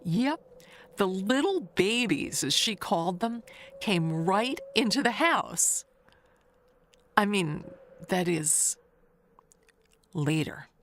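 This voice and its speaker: A woman playing a chatty, nosy older neighbor in an audio mystery monologue, gossiping in a confiding, halting way with sly wistfulness. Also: medium pitch, lively intonation, fairly bright tone, a little hiss or hum.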